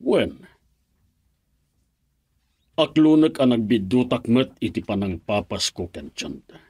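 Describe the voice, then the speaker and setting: A man with a Filipino accent acting a stern, unforgiving middle-aged father in a radio drama, cold and raspy. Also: mid-range voice, sing-song, takes it slowly, darkish tone, exaggerated voice. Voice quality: raspy, gravel-edged. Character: stern, unforgiving father protecting his daughter.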